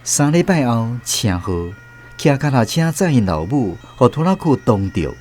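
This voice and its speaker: An actor as a wistful older Taiwanese man, expressive. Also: medium pitch, normal speed, faint music behind, natural voice. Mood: sombre remembrance. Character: wistful older emigrant man recalling lost first love. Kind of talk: narrated Taiwanese radio drama.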